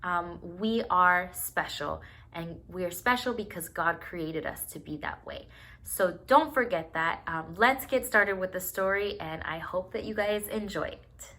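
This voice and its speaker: Bright-voiced woman teacher. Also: on the low side, measured pace. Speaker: teacher addressing young pupils. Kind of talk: informal encouraging introduction to a story.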